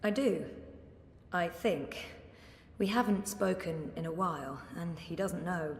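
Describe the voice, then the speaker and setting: Weary young game heroine, understated and hesitant. Lowish register, long reverb around the voice. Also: female voice, unhurried. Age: young adult. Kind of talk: video game character acting. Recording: echoey hall, faint background noise.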